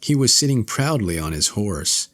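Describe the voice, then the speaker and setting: A man reading a classic fairy tale as an audiobook narrator, in a measured storytelling manner. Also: lowish voice, big pitch swings, fairly bright tone.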